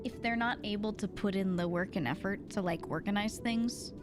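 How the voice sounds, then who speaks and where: A woman's voice: mid-range voice, moderate pace. A woman streamer chatting casually during a game stream, often laughing as she talks. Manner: laughs often while talking. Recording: loud music behind voice. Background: loud music.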